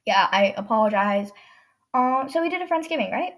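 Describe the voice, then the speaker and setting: A woman's voice: on the high side, animated, brisk, fairly bright tone. A teenage girl hosting a podcast, telling a story in a rambling way with lots of fillers and self-corrections, her nose stuffed up. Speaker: podcast host. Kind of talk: casual storytelling monologue.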